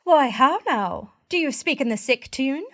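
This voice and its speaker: An actress playing a sweet, excitable young noblewoman in a Shakespeare radio play, girlish in manner. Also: high voice, unhurried, exaggerated voice. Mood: excited, fretful.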